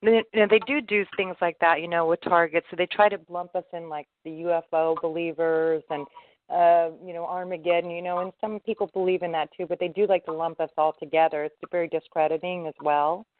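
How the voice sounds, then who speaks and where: A woman hosts a call-in discussion in a casual interviewing style. Her mid-pitched voice comes through a narrow, muffled phone line, dry and without room sound. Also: steady tone, brisk, no room sound. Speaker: host of a call-in conference. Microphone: telephone.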